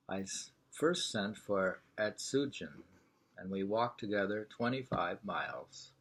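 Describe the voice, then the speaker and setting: A man reading poetry and travel prose aloud informally, in a halting, deliberate way. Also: lowish voice, slight background noise, poor audio. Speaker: reader of a poetry travel sketch.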